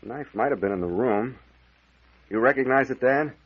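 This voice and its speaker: A man's voice: medium pitch, normal speed, breathy edge. A man playing a shrewd, firm captain questioning a suspect in an old radio mystery, terse and authoritative. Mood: measured questioning. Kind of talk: 1940s radio mystery acting. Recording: muffled vintage radio drama recording.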